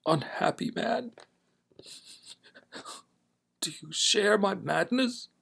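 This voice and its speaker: A man's voice: high voice, expressive, very slow, creaky, fairly bright tone, breathy edge, voice pushed up, heavily acted. Man putting on a Dracula-accented grieving stranger. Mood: anguished. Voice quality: theatrical Dracula-style accent. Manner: impassioned, broken declamation. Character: grief-broken despairing male stranger.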